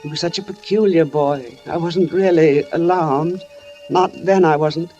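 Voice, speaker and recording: An actress playing a kindly, wary older housewife and mother in a 1940s radio suspense drama, speaking gently and carefully. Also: low-pitched, unhurried, soft background music. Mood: uneasy narration. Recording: muffled old radio broadcast recording.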